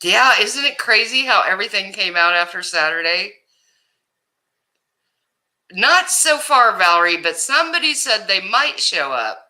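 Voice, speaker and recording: Woman, livestream host, loud carrying voice, unhurried, very bright tone, chatty. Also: medium pitch, big pitch swings, low-quality recording. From Ohio, USA. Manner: loud voice that carries, chatty and laughing. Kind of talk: casual livestream chat greeting viewers.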